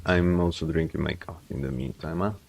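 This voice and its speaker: A man's voice: extremely low pitch, steady tone, brisk, gravelly, slight background noise. A man streamer chatting casually and giving reflective, rambling advice on learning languages, often restarting his sentences. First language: English. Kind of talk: casual livestream chat.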